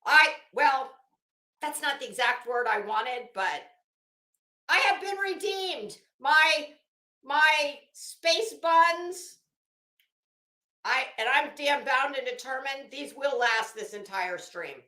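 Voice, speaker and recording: High, bright-voiced woman rambling on a livestream. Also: expressive, slow pace. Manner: rambling, self-interrupting, searching for words; dramatic, emphatic storytelling with self-quoting. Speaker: livestream host. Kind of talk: casual livestream chat. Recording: roomy, echoing room sound.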